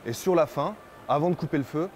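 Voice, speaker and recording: A man's voice: a little high, brisk, a little mellow, a little hiss or hum. A man in his thirties, a brewer, explaining a process step by step for an interview. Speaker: brewer, co-manager. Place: indoors in a brewery hall. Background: music.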